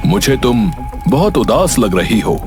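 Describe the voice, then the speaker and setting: A man's voice: mid-range voice, big pitch swings, a little slow. A man voicing a powerful, scheming old sorcerer in a storybook tale, with a commanding manner. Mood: coaxing. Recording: loud music behind the voice.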